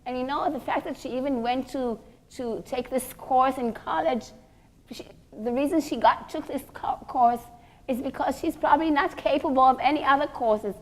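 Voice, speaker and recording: A woman's voice: sits high, normal speed, darkish tone, natural voice, rough recording. A woman storyteller voicing a gossipy young woman who is chatty, emphatic and judgmental. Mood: dismissive, gossipy.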